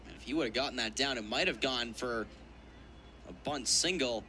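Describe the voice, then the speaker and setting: Male color commentator with middling pitch. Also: normal speed, pushed and bright, slight background noise. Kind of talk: live baseball analysis.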